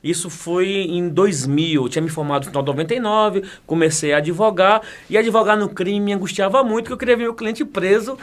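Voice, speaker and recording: A man's voice: high voice, brisk, few pauses. A man, chatting as an interview guest who jokes and laughs often, repeating words as he talks. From Bahia, Brazil. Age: 40s. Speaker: guest, federal police chief and teacher. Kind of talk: casual interview talk.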